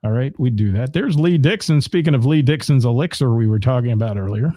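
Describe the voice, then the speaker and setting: A man's voice: mid-range voice, animated, a little fast, mellow, dry recording, clean studio sound. A man, hosting a live show and rattling off local gig listings with asides to the chat. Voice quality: gravelly voice. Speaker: radio host.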